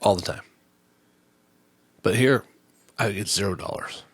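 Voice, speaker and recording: A man's voice: on the low side, a little slow, breathy edge, no room sound. Exasperated man ranting about his day. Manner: animated, exasperated retelling with quoted exchanges. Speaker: podcast host. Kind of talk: storytelling rant.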